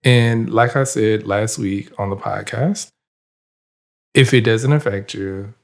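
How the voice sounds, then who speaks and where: A man's voice: fairly low pitch, slow, vocal fry. A man hosting his own podcast in casual chat, opinionated and emphatic and admittedly long-winded. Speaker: podcast host.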